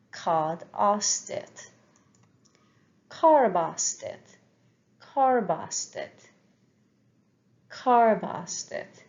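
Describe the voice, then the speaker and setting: A woman's voice: mid-range voice, a little mellow. A woman teaching an Irish language lesson, saying each word several times for learners to repeat. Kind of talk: language lesson with repetition drills. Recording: dull lo-fi recording.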